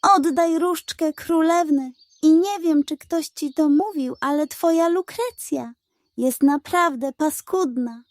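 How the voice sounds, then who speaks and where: A woman's voice: high-pitched, takes it slowly, no echo, pitched above the performer's own voice. A woman voicing a brave, cheeky little male puppy in a bold, taunting manner for a children's story. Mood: defiant, taunting.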